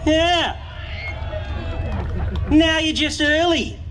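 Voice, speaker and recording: Australian man, very high voice, cheeky crowd warm-up on a PA mic, noisy outdoor crowd and engines. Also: steady tone, extremely slow. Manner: cheeky, teasing banter with the crowd. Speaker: event announcer. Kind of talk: joking crowd warm-up over a PA. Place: outdoor grandstand at a tank show. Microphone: handheld PA mic. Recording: loud outdoor crowd and vehicle noise. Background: crowd chatter and engines.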